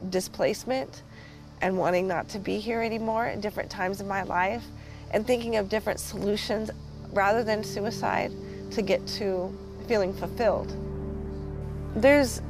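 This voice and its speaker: A woman speaking earnestly and haltingly in a documentary interview, reflecting on loss and the land. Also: medium pitch. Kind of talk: reflective personal testimony.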